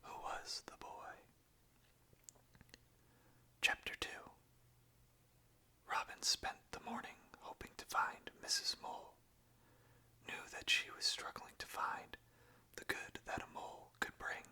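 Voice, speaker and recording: An ASMR host speaks entirely in a breathy whisper. The delivery is slow and hushed, with a crisp, bright edge to the airy sound. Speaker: ASMR host reading song lyrics aloud. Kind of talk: whispered ASMR, reading and casual chat.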